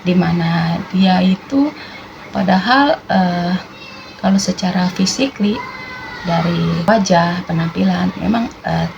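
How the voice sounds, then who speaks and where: An Indonesian woman hosting a reaction video, talking in a relaxed, drawn-out way and tagging her sentences with "gitu ya". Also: on the low side, vocal fry, lo-fi sound, noisy background. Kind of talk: casual reaction commentary.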